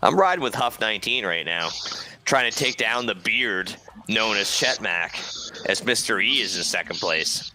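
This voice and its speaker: A man's voice: lowish voice, lively intonation, normal speed, bright, forceful tone, a little hiss or hum. A man co-commentating an online drone race, wisecracking and teasing between calls. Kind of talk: joking esports commentary.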